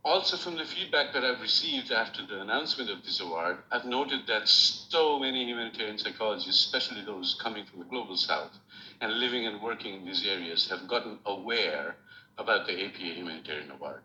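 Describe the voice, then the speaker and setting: A middle-aged man with a Nepali accent, giving a measured, formal acceptance speech from a remote room. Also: fairly low pitch, animated, bright, forceful tone. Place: room at home, recorded remotely. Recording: roomy, dull lo-fi with faint hiss.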